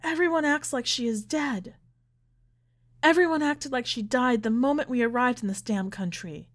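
A woman's voice: on the high side, normal speed, voice pushed up, lightly acted. A woman voicing an anguished, furious immigrant mother in an audiobook, pleading and insistent through her grief. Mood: bitter, desperate. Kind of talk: dramatic audiobook character reading.

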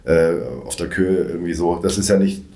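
Deep-voiced fast-talking comedian, echoey room. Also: male voice, little pitch movement, dark tone. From Reutlingen, southwest Germany. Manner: rambling storyteller with quick self-corrections and playful asides. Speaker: stand-up comedian and podcast guest. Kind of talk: casual conversational interview. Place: studio in Hamburg.

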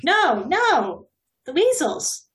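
Animated woman playing a tabletop game. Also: extremely high pitch, drawn-out words. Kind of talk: casual playful roleplay chat.

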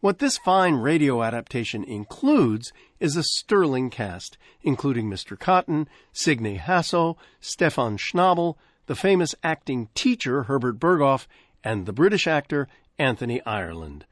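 A man introducing a vintage radio program from a studio, speaking in a measured, articulate way. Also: mid-range voice, no room sound. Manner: measured, articulate, lively delivery. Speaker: radio host. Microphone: close studio microphone. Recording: dry close studio sound.

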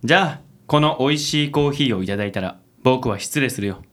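An actor playing a suave, affected, good-natured company president in a radio drama. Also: male voice, mid-range voice, animated, fairly quick, lightly acted. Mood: suave, breezy. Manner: suave, theatrical, gentlemanly.

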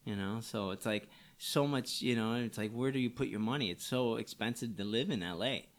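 A man with a Chicago accent, high and chatting casually as a podcast guest, swearing and filling with "you know.". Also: brisk. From Chicago area, USA. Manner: laid-back, swears a lot, many "you know" fillers. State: stoned.

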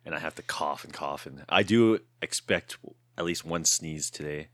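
A man in his thirties hosting a podcast in a casual chat, his voice raspy and hoarse from shouting, rambling with fillers and laughs. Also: rumbling low, normal speed, dry recording. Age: 30s. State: hoarse from shouting the night before. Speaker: podcast host.

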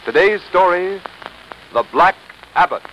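A man's voice: sits high, lively intonation, a little slow, pushed and bright, rough recording. A man announcing in a dramatic, declamatory, scripted 1930s radio style. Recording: vintage 1930s radio recording with hiss.

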